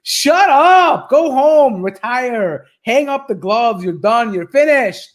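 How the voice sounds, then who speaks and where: A man's voice: very high-pitched, animated, slow. A man hosting a reaction video, commenting on a debate in a mocking, exasperated way.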